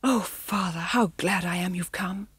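An earnest, eager young male puppy from a storybook tells his father everything, as a woman narrator puts him on. The voice sits a little higher than her own and sounds slightly husky, close and dry. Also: unhurried, fairly bright tone, no echo, exaggerated voice. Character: brave young male Dalmatian pup.

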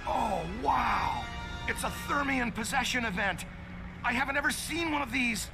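An actor playing a boyishly excited, awestruck ghost researcher in a video game, gushing and exclaiming. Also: male voice, extremely high pitch, moderate pace, vocal fry, heavily acted. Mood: awed excitement. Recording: blaring game music and effects behind.

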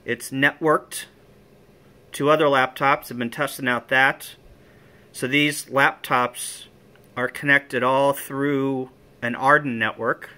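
Clear-voiced man giving a walkthrough. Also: mid-range voice, steady tone, takes it slowly, bright, forceful tone. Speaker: amateur radio hobbyist. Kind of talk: informal equipment walkthrough. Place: indoor room with equipment on folding tables. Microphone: handheld phone or camera he is holding.

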